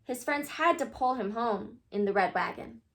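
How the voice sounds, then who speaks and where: A woman narrating a children's picture book as an expressive read-aloud storyteller. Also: on the high side, normal speed.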